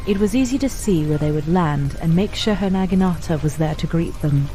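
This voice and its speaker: Lady narrating an audio drama, lowish soft-toned voice, scripted story read, faint music. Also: a little fast, poor audio.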